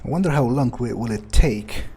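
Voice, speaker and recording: A man's voice: medium pitch, expressive, moderate pace, warm tone, a little hiss or hum, close to an everyday voice. A man playing a contemptuous, scheming vampire in a game roleplay, sneering, foul-mouthed and musing. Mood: musing, weary. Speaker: game player staying in character. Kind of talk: in-character roleplay playthrough.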